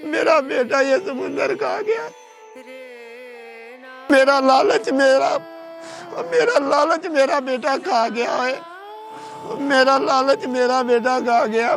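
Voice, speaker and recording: A man's voice: very high voice, vocal fry, a bit bright, heavily acted. An old village father, acted by a man, speaks. He is poor, trusting and pleading, addressing people fondly in a moral-story drama. Faint music plays underneath. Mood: wailing grief. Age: older. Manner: pleading, repeatedly addresses listener affectionately.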